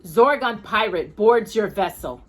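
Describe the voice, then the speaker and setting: A woman's voice: medium pitch, lively intonation, unhurried. A woman voicing an eager, plucky young boy in a storybook reading. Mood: worried.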